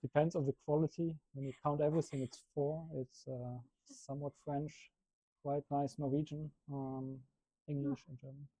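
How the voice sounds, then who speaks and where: A man speaking as a panel guest, relaxed and a little hesitant in his phrasing. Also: on the high side, moderate pace, husky, dry recording, poor audio. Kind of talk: panel discussion.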